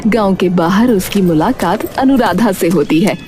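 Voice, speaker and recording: A woman's voice: medium pitch, animated, measured pace. A woman reading scripted narration for a cartoon story.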